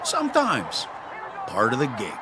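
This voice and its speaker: A man's voice: medium pitch, wildly swooping pitch, a little slow, crowd noise. A man playing a chatty bartender in an audio drama, with a folksy, casual and informal manner. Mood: amused, easygoing. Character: chatty, easygoing male bartender. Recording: noisy bar-like ambience.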